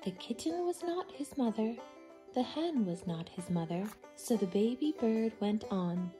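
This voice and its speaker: A woman reading a picture book aloud expressively to young children, in simple, deliberate phrases. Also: on the high side, lively intonation, mellow, faint music behind. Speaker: children's storytime reader.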